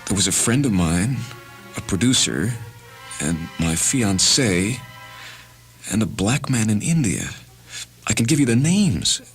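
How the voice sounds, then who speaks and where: Man acting out an agitated, halting, wildly animated confused man. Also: lowish voice, soft background music. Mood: uncertain, urgent. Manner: halting, excitable. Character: agitated, confused man confessing, prone to outbursts. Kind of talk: dramatised story reading.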